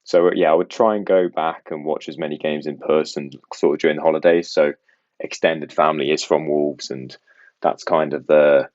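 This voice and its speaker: A man's voice: extremely low pitch, fairly even intonation, normal speed, a little mellow, no room sound, highs cut off. A young man who grew up in Belgium, with an international English accent carrying faint West Midlands traces, chatting casually and self-deprecatingly as a podcast guest.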